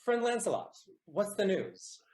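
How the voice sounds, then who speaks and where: A man playing an ardent young gentleman lover and schemer in a Shakespearean audio play. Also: high-pitched, huge pitch swings, a little slow, full character voice. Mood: fond, expectant.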